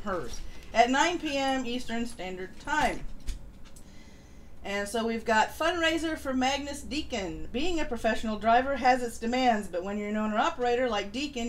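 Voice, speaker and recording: A woman hosting a casual live stream, reading announcements and chatting informally. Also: on the high side. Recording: noisy home livestream audio.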